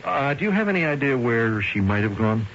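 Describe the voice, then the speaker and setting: An actor playing a businesslike police lieutenant in a radio drama, questioning in a measured, skeptical way. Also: male voice, medium pitch, big pitch swings, fairly bright tone. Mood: probing. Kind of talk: old-time radio drama acting. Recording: dull, noisy old radio recording.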